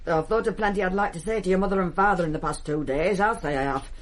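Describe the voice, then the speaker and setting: An actress playing a cantankerous, proud old British widow in a radio play, grumbling and blunt. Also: female voice, on the low side, quick pace, close to an everyday voice. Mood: defensive. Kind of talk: radio drama acting.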